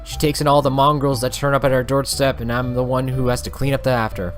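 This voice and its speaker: A man's voice: medium pitch, level tone, fast, soft background music, natural voice. A man voicing a disagreeable, suspicious young nun with a haughty, scolding way of talking, in a visual novel read-aloud. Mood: exasperated.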